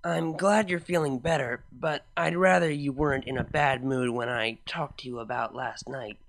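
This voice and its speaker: Timid sweet pony mare, gentle and hesitant, guy doing her voice. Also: mid-range voice, fairly even intonation, normal speed, creaky. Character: timid, caring young adult pony mare.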